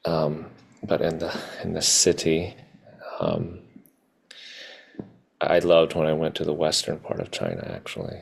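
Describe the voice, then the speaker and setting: A man with a gravelly, husky voice, sharing reflections informally in halting fragments on a video call. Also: very deep voice, very animated. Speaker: participant sharing at an online gathering.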